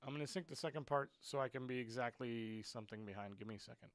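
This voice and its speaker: Excitable football streamer with a dry close sound. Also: male voice, medium pitch, quick pace, no echo. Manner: frustrated, excitable rants about players; muttering while fixing stream clock. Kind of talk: live stream match commentary.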